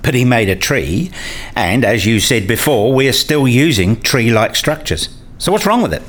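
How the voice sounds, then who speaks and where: Man acting a curious interviewer, lively intonation. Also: mid-range voice, normal speed. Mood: curious, probing. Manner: inquisitive, challenging, articulate. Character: curious, skeptical man quizzing a professor. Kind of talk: scripted questioning dialogue in a radio play.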